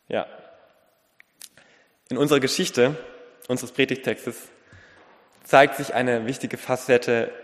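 A man delivering a sermon in an earnest, deliberate way, in short phrases with pauses and a slight lisp. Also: medium pitch. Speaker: preacher. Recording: long reverberant hall.

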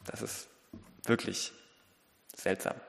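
A man delivering a sermon in an earnest, deliberate way, in short phrases with pauses and a slight lisp. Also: fairly bright tone. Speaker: preacher. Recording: long reverberant hall.

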